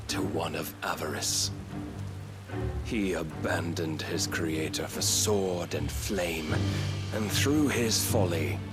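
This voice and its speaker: An actor playing a fanatical, grandiose villain king who preaches salvation in deliberate, archaic oratory for a fantasy game cutscene. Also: low voice. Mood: solemn, preaching.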